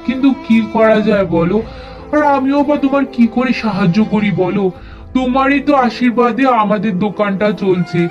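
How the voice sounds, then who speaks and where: A man voicing a middle-aged shopkeeper brother-in-law in a family cartoon, sounding sympathetic but evasive. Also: very high voice. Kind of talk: cartoon voice acting. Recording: dull lo-fi recording.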